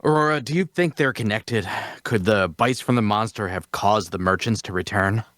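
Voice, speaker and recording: A man's voice: lowish voice, moderate pace, a bit bright, dry recording, studio quality, close to an everyday voice. A man playing the stern, cautious leader of a band of fantasy adventurers in an audio drama. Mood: uneasy, questioning. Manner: commanding, questioning. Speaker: actor in an audio drama table read.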